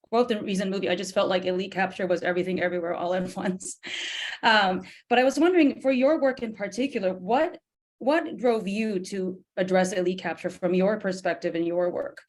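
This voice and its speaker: A hoarse woman on a video call, originally from Japan, introducing a guest and asking polite, thoughtful questions in an academic book talk. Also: medium pitch, a little fast. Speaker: discussant.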